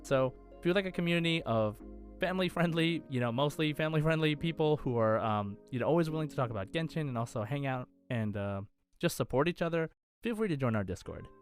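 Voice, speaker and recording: A man's voice: a little high, lively intonation, fast, faint music behind. A man hosting a podcast in a casual, chatty style with an upbeat, enthusiastic manner. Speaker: podcast host.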